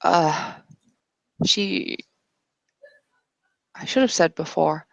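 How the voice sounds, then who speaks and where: A woman improvising narration for a tabletop roleplaying game in a halting, tentative way. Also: fairly low pitch, gravelly, no room sound, highs cut off. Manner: halting, tentative, piecing sentences together.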